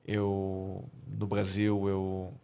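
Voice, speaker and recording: A man in his forties from Rio de Janeiro with a Carioca accent, answering as a guest in a podcast interview, earnest and measured with frequent hesitations. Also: sits low, completely flat delivery, gravelly, mellow, clear, resonant tone. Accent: Rio de Janeiro Brazilian Portuguese. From Brazil (Rio de Janeiro). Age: 40s. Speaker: guest, military spokesperson.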